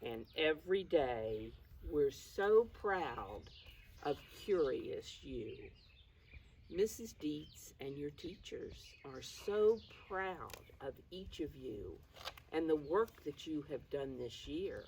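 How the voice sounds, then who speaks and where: Slow, lilting woman reading to small children. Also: sits low, lively intonation, a little mellow. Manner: encouraging, addresses young children directly. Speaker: preschool teacher reading a picture book. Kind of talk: children's read-aloud with simple explanations. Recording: distant mic with faint background noise.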